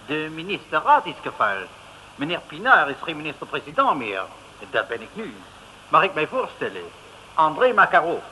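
An actor playing a flustered, pompous French prime minister with a French accent in a radio comedy. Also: male voice, a little high, big pitch swings, normal speed, sharp tone, exaggerated voice. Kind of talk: 1950s radio comedy acting. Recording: noisy old radio recording.

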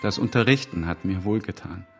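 An actor playing a melancholy German male teacher in a radio drama, with a halting, brooding manner. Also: lowish voice, a bit bright, soft background music. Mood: gently weary. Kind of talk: literary radio drama acting.

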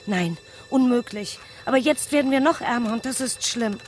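A woman's voice: a little high, moderate pace, slight background noise, lightly acted. An actress playing a poor, worried, apologetic village mother in a radio drama, plain-spoken and confiding. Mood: apologetic, troubled.

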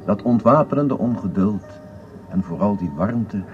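An actor playing a solemn, reverent radio eulogist in a drama, on a muffled, noisy broadcast recording. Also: male voice, lowish voice, lively intonation, unhurried, faint music behind. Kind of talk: radio obituary within a drama.

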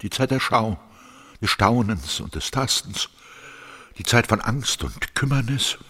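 An actor playing a brooding, rapturous artist in a stylized literary radio play, with fragmented, incantatory delivery. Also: male voice, on the low side, expressive, unhurried, pushed and bright, slightly rough, full character voice. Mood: solemn wonder. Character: brooding, rapturous male artist, stammering and urgent.

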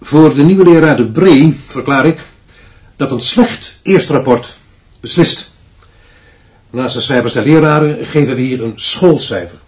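A man's voice: mid-range voice, darkish tone, dull audio, lightly acted. Guy acting a bossy merciless school director in a radio play, clipped decrees, dullish sound. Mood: stern, official. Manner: clipped, authoritarian pronouncements. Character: stern, dictatorial male headmaster, unbending and merciless. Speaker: school director addressing his teaching staff.

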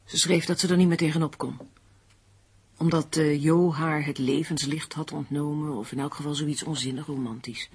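An actress in a radio play playing a determined, unsentimental amateur sleuth, matter-of-fact and insistent. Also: female voice, low voice, fairly even intonation, moderate pace, husky, full character voice. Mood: insistent, suspicious.

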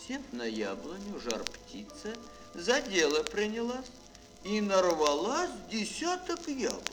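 Wildly expressive, higher-pitched male storyteller. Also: rough recording. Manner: expressive, dramatic phrasing with pauses. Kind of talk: theatrical fairy-tale narration for children. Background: music.

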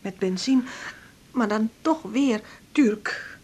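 An actress playing an anxious Turkish immigrant wife in a radio drama, with a Turkish accent, speaking hushed, broken Dutch in short fragments. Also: female voice, fairly high pitch, slow, breathy edge, exaggerated voice. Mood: fearful, distressed.

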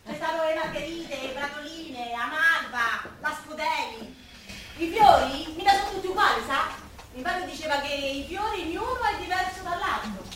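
An actress playing a blunt, nagging working-class flower seller with a Roman accent in a theatrical radio play. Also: female voice, sits high, expressive, normal speed, fairly bright tone. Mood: bossy. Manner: nagging, exasperated, emphatic street-vendor delivery. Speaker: stage actress. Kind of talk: theatrical Italian dialect comedy-drama. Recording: roomy, noisy live recording from far off.

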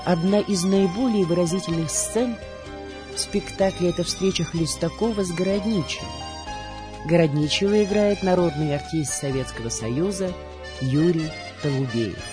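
A woman presenting a radio programme in a scripted, measured and literary narration, with a declamatory touch. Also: fairly low pitch. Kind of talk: scripted documentary narration about theatre. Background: music under the voice.

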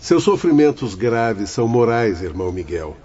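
A man playing an authoritative, caring spirit doctor in a radio drama, speaking formally and deliberately. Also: medium pitch, sing-song, darkish tone, breathy edge. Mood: grave, reassuring. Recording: dull radio-play recording, faint background ambience.

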